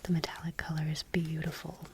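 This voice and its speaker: A woman speaking in a soft, breathy near-whisper in an ASMR style, gentle and unhurried, close to the microphone. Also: low-pitched, monotone, background noise. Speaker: ASMR channel host. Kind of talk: soft-spoken ASMR, reading trading cards aloud.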